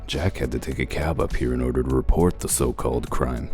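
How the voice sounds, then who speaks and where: An extremely low, gruff detective voice, acted by a man, dry and deliberate. He lays out his reasoning with smug method, like a hardboiled comic-book narrator, over background music. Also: steady tone, a little mellow. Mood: smug, methodical. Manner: dry, deliberate, self-assured explaining. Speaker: detective character and first-person narrator. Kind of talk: comic dub acting, detective monologue. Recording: music under the voice.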